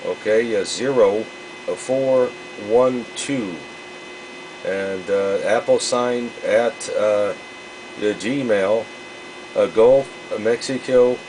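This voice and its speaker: Casting: male ham radio operator, lowish pitch, hesitant casual delivery, noisy humming recording. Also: very slow. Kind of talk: casual radio contact chat.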